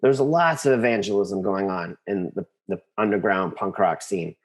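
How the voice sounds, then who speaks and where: An American man speaking as an interview guest, telling personal stories casually and earnestly, often halting and repeating words as he thinks. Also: deep voice. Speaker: interview guest, composer and conductor.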